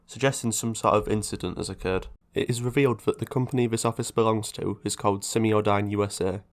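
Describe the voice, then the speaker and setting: Male video essayist, lowish pitch, scripted explanatory narration with informal asides.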